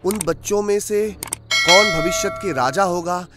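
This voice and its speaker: A man's voice: high-pitched, a little slow, heavy background noise. A man playing an obedient but doubtful army commander in a cartoon, speaking deferentially. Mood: dutiful, doubtful. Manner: deferential, questioning. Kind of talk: cartoon Bible story dialogue. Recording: loud background music.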